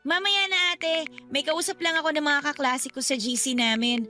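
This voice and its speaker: A woman's voice: high-pitched, fairly even intonation, normal speed, sharp tone, faint music behind. A woman playing a cheeky, whining eighteen-year-old younger sister with a Filipino accent in a radio drama, defensive and full of excuses. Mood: whiny reluctance.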